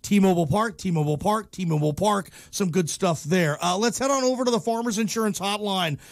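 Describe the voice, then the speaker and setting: A man's voice: sits high, fairly quick, vocal fry, dry recording, clean studio sound. A man with an American accent hosting casual, slangy sports talk radio in an enthusiastic, informal manner.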